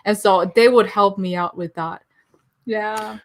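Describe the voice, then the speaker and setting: A young woman chatting as a podcast guest, talkative and quick to laugh. She rambles a little and keeps things light and conversational. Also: mid-range voice, steady tone. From Philippines and Taiwan. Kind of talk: casual interview chat.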